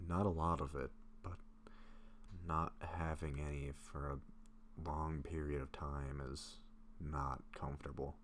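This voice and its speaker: A man performs a gentle, self-doubting male vampire confiding in his best friend in an audio drama. His voice is extremely deep and he talks slowly and haltingly, with soft affection. A faint noise sits behind the recording. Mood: hesitant, explaining. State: sick, occasional coughing. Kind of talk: intimate roleplay audio drama. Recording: faint steady background noise.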